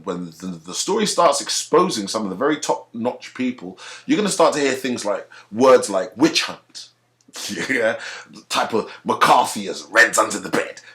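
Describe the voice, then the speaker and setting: A man from Africa who speaks with a British accent, delivering a heated, profane rant straight to camera. His pitch swings widely, up and down, as he presses each point. He repeats words and restarts sentences as he pushes on. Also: pushed and bright. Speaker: video commentator. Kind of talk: ranting commentary to camera.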